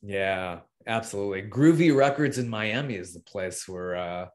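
A man's voice: lowish voice, moderate pace. A man hosting an arts podcast, interviewing conversationally and agreeably, affirming what he hears and asking questions.

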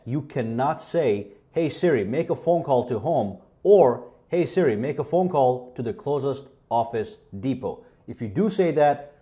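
A man's voice: medium pitch, mellow. A man hosting a tech tutorial, narrating a casual how-to demonstration.

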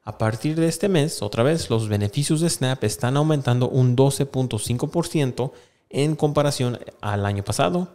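A man in his thirties presents a casual news explainer in a mid-pitched voice. He speaks close to a desk microphone, reading aloud and then restating points in a slightly halting way. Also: expressive, a little mellow. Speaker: YouTube host. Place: small indoor room with plain wall. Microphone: desk microphone close in front of him.

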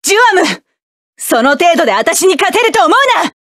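Brief: cool, scornful female robot pilot; clipped battle barks, high voice, dry booth sound. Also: sing-song, brisk, a bit bright, dry recording, full character voice. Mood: fierce. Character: proud cool-headed female mecha pilot, sharp-tongued. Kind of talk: video game battle voice lines.

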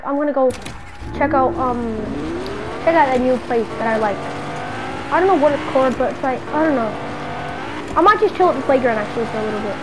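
A woman's voice: high voice, noisy background, close to an everyday voice. A woman playing an exasperated, slangy city dweller hounded by a stalker friend in an improvised video game roleplay. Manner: slangy, muttering to self, then scolding.

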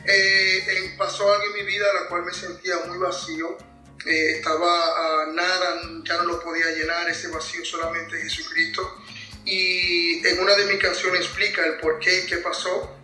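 Dominican male interview guest, higher-pitched and very bright, roomy video-call sound. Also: little pitch movement, normal speed, soft background music. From Dominican Republic. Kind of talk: interview testimony. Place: indoors at home, on a video call. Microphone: tablet video call.

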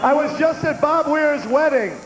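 An older man co-hosting a festival stage with a handheld mic, cracking goofy puns and leading shouted crowd chants outdoors. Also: extremely high pitch, measured pace, noisy background. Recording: echoey outdoor PA with loud crowd.

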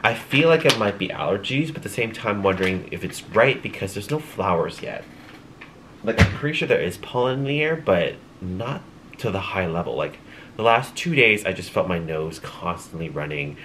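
A man's voice: sits low, lively intonation, normal speed, noisy background. A young man chatting casually to his camera at home at night, rambling with lots of ums, sounding congested and tired. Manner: rambling, chatty, with light laughs and many ums. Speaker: vlogger. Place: small home room at night.

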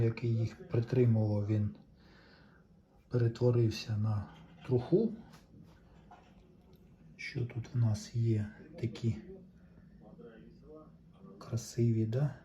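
A man's voice: medium pitch, mellow, muffled recording. A man giving casual hands-on commentary, chatting to viewers and thinking aloud as he works. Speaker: host of a tape recorder restoration video.

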